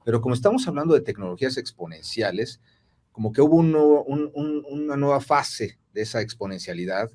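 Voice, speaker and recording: A man hosting a podcast conversation, asking questions with many filler pauses. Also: fairly low pitch, a little mellow. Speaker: podcast host. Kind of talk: casual conversational interview.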